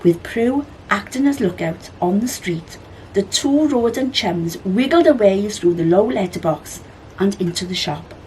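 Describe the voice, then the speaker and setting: A woman narrates a children's story in an expressive, animated way. Her medium-pitched voice rises and falls a great deal, with faint background noise. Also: unhurried, low-quality recording. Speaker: library storyteller.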